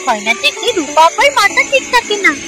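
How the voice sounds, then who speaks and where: A woman voicing a spoiled, demanding young village girl in a cartoon, whiny and excitable. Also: very high voice, fairly quick. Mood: excited, envious. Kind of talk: cartoon voice acting. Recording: music under the voice.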